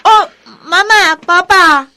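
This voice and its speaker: An actress playing a sweet, excitable eighteen-year-old daughter in a Filipino radio drama, girlish and affectionate. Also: extremely high pitch, slow, very noisy. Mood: cheerful, sweet. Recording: busy chatter of people behind.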